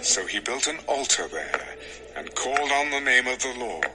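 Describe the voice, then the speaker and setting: A man narrating a dramatized scripture recording in a measured, expressive storytelling manner. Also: mid-range voice, rough recording. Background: music.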